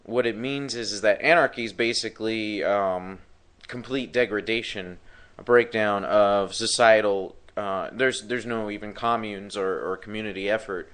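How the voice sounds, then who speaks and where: A man's voice: on the low side, normal speed, a bit bright, smooth. A man hosting a live talk show who has partly lost his voice, speaking in a rambling way with many fillers and restarts. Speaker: podcast host.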